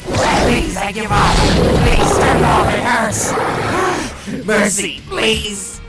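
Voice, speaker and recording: A woman voicing an agonized, pleading shapeshifting monster in an animated drama, strained, distant under loud music. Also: mid-range voice, very slow. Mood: begging.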